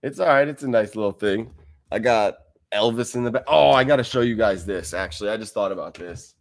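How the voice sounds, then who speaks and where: A man's voice: on the low side, brisk. A man hosting a casual livestream from a wide room at home, close to a desk mic, rambling and laughing as he reads viewer comments. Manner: laid-back, rambling, laughs often. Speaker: livestream host.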